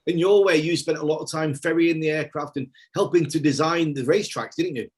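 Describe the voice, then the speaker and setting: A man's voice: a little high, brisk. A man from Greater Manchester hosting an interview, friendly and affirming, asking short questions. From Greater Manchester, England. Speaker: interview host.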